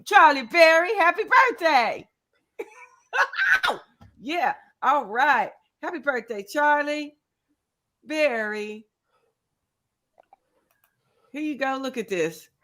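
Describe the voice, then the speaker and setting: A woman in her fifties chats her way through a live crafting stream, greeting each viewer by name and laughing often. Her voice sits a little high, and she takes her time with every phrase. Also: sing-song, very slow, long pauses, bright, forceful tone. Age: 50s. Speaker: crochet channel host. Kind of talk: casual livestream chat.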